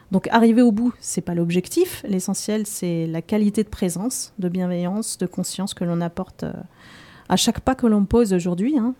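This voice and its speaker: Female guest author, mellow dark tone, calm reflective delivery. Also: mid-range voice.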